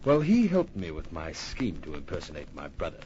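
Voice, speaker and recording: An actor playing a suave, cold-blooded killer in an old radio crime drama, speaking politely but with deliberate menace, on an old muffled recording. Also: male voice, extremely low pitch, huge pitch swings, a little mellow, slight background noise, exaggerated voice. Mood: smug, cold. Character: suave, cold-blooded murderous impostor twin with a gun.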